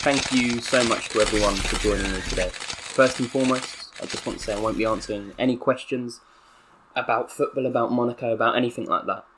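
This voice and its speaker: A man's voice: medium pitch, fairly quick, very noisy. A young man with a Southern English accent, playing a career-mode footballer who reads out a mock-solemn press statement. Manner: mock-solemn with bursts of excitable commentary. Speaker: gaming YouTuber.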